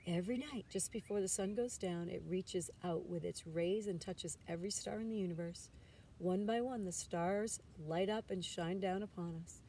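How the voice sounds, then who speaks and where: Woman as a tender, mellow mother raccoon. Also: fairly low pitch, normal speed, close to an everyday voice. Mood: soothing, loving. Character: gentle, loving mother raccoon, patient and reassuring. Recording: distant mic with background noise.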